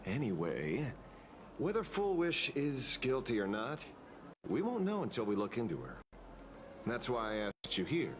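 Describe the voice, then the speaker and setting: Theatrical, swooping-voiced private detective. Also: male voice, medium pitch, wildly swooping pitch, a little slow, background noise. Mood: playful, confident. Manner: theatrical, musing, playful. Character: theatrical, self-assured male private detective. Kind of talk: dramatic video game dialogue.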